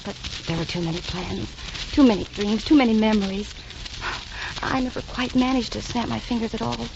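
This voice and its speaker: A woman's voice: a little high, moderate pace, vocal fry, background noise. An actress playing a lovelorn, wistful young woman in a 1940s radio drama, with a sentimental, earnest delivery. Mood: yearning. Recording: dull vintage radio recording, music behind.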